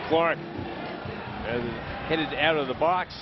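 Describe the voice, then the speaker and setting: A man doing play-by-play commentary on a soccer match, calling the action in a steady, informative way. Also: a little high, extremely slow, heavy background noise. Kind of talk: sports commentary. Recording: dull lo-fi television broadcast.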